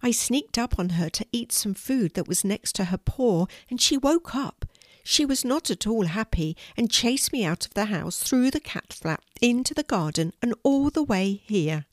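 A soft, squeaky little girl mouse, timid and a bit stammering, her voice pitched up high above an ordinary speaking voice, as a grandmother puts her on. She sounds close and dry, with no room around her, in a storybook reading. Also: animated, normal speed, dry recording. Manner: stammering, nervous.

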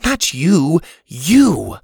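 A man's voice: huge pitch swings, drawn-out words, gravelly, a little mellow, slightly rough, no echo, heavily acted. A man voicing a bold, teasing young girl who speaks in a cheeky way. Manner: cheeky and high-pitched.

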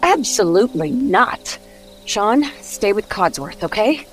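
A woman's voice: mid-range voice, big pitch swings, unhurried, faint music behind, poor audio. Firm, protective mother. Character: protective, resolute mother and leader. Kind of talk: audio drama acting.

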